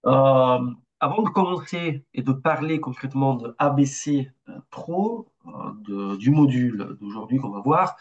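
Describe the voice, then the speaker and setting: A man in his forties from Italy with an Italian accent, a bit ill, presenting a webinar as a consultant and speaking haltingly with many hesitations. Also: mid-range voice. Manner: halting, with frequent hesitations and restarts. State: slightly ill.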